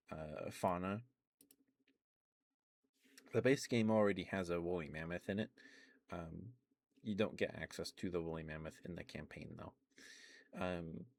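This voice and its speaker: A young adult man quietly rambling in a soft ASMR stream, meandering with many fillers and restarts. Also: deep voice, no echo.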